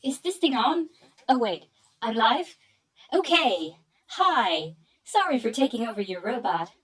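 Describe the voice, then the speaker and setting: A woman's voice: on the high side, very animated, measured pace, a bit bright, husky, recorded from a distance, full character voice. A woman playing an over-polite, perky robot messenger in a radio play, formal and officious. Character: chirpy, officious female robot messenger with forced friendliness.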